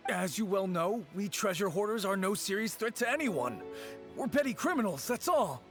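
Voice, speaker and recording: An actor playing a cocky, sly petty bandit with a cowardly streak, sarcastic and theatrical, in a video game. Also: male voice, high-pitched, expressive, normal speed, a bit bright. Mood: wheedling, sarcastic. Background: game music.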